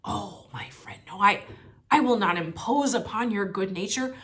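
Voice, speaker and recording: A woman voicing a sly nobleman who flatters his rival with theatrical, effusive concern in a dramatic story read-aloud. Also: medium pitch, moderate pace, lightly acted. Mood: feigned concern.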